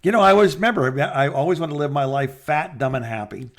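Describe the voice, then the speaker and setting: A man's voice: fairly high pitch, quick pace, creaky. An older man, a podcast co-host in a casual phone chat, giving advice in an easygoing, rambling way.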